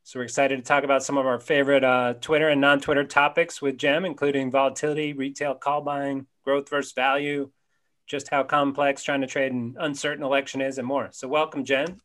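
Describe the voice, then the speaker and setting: A man in his forties hosts a casual interview from his home study, speaking over a webcam call. He is friendly and upbeat, and he throws in quick quips. His voice sits at a middling pitch. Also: flat delivery, fairly bright tone. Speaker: podcast host. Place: home study with bookshelves, indoors. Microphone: webcam on a video call.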